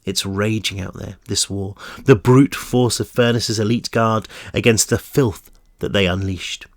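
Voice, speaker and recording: A man with a croaky voice reading the part of a young male prisoner who speaks in low, urgent warnings. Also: fairly even intonation, unhurried, dry recording, studio quality. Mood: hushed warning. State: croaky, losing his voice.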